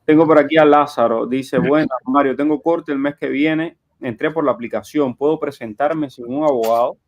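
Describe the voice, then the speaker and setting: A man's voice: mid-range voice, fairly even intonation, moderate pace, dull audio. A man hosting a live question-and-answer show, reading audience questions aloud in a conversational way. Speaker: live show host and journalist.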